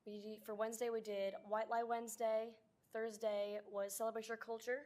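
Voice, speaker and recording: A teenage girl giving a student report to a school board, with a hoarse, raspy voice. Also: little pitch movement, normal speed, darkish tone. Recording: faint rumbling noise.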